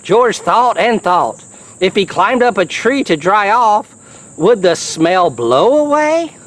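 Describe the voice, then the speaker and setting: Animated, unhurried children's storybook reader. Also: medium pitch. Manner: animated, exclaiming, chatty asides to the listener. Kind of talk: children's book reading with playful asides.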